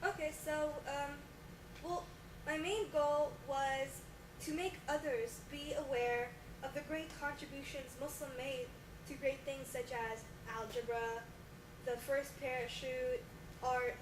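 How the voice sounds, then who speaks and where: A woman's voice: high voice, measured pace. A young woman playing an earnest scientist guest in a homemade mock talk show, explaining things, on distant, echoey, noisy audio. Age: young adult. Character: earnest female scientist and exhibit creator as guest. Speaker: interview guest.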